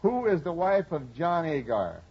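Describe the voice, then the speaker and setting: A man's voice: a little high, sing-song, unhurried, slightly rough. A man co-hosting a 1940s radio quiz show, bantering in a jokey, folksy way. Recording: muffled, noisy old radio broadcast with audience. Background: studio audience and noise.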